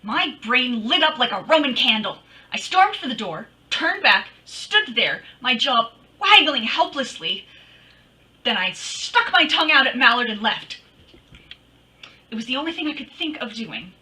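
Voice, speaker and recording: High, animated radio lady detective played by an actress. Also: measured pace, far from the mic, exaggerated voice, low-quality recording. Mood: wry, animated. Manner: dramatic, wry, teasing. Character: witty, feisty woman private detective narrating her case. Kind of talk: 1940s radio detective acting.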